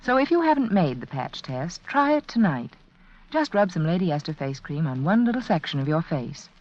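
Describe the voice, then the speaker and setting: A woman gives a persuasive 1940s radio beauty pitch. Her voice is on the low side with very lively intonation. The old recording is somewhat dull. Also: normal speed. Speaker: beauty product spokeswoman.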